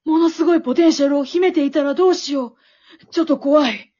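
A woman playing an excitable, wisecracking storyteller, narrating a comic radio drama like play-by-play with dramatic flair. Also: sits high, quick pace, lightly acted. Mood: excited, suspenseful. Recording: dull, lo-fi recording.

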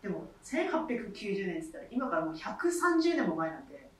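A woman's voice: mid-range voice, big pitch swings, brisk, far from the mic, slight background noise. A woman in a theatre troupe chatting casually as co-host of a radio talk show. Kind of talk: casual, relaxed chat. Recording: echoey room, away from mic.

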